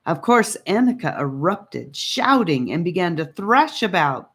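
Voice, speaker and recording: A woman's voice: on the low side, big pitch swings, measured pace, a bit bright, slightly rough. A woman reading an adventure audiobook as a dramatic storybook narrator.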